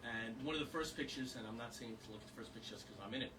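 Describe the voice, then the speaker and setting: A man's voice: mid-range voice, racing. A man speaking earnestly to a small audience as a volunteer, with rambling fillers. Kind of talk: informal talk to an audience. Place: small historic house meeting room. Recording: distant mic with background noise.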